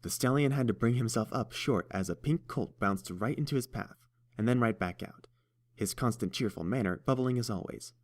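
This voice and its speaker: A man narrating a story in a steady, even storytelling manner. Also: medium pitch, moderate pace. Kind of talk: fan audiobook narration.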